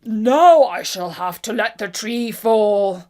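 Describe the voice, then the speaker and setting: A woman voicing a big, slow, boastful male giant in a storybook, loud and challenging. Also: mid-range voice, animated. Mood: straining.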